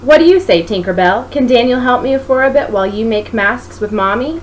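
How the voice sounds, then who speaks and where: A woman's voice: fairly high pitch, animated, brisk, pitched above the performer's own voice, lightly acted. Woman voicing an easygoing, playful boyfriend. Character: easygoing, playful man, good with children. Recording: noisy home recording.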